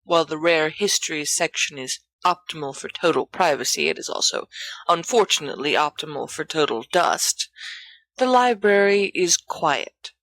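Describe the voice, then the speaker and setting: A woman's voice: low-pitched, lively intonation, normal speed, a bit bright, dry recording. A woman reading scripted fiction aloud as an audiobook narrator. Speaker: audiobook reader.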